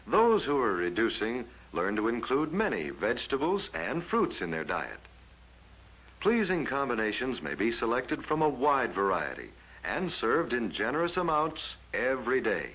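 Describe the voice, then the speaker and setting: A man's voice: mid-range voice, fairly bright tone, slightly rough, low-quality recording. An American man narrating a 1950s instructional film from a script in a formal announcer manner, on an old film soundtrack. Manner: formal, measured announcer delivery.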